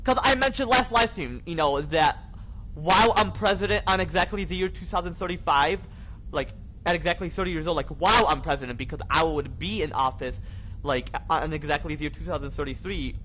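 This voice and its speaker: Young male American streamer, slightly high voice, loose repetitive slang, lo-fi sound. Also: fairly quick, sharp tone. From Michigan, United States. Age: young adult. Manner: rambling, repetitive, heavy slang. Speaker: live streamer. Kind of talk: casual game livestream chat. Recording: dull lo-fi mic with faint noise.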